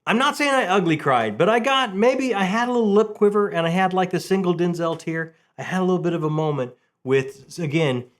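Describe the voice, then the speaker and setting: A man hosting a casual, conversational book video, telling things in an animated way and repeating phrases for emphasis. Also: high-pitched, brisk, few pauses. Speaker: book review video host.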